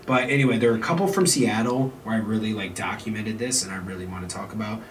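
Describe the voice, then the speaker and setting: A man's voice: fairly low pitch, fast talker. A man co-hosting a podcast in easygoing casual chat, sounding nasal from a cold. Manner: easygoing, agreeable, animated retelling. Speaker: podcast co-host. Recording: distant mic with noise.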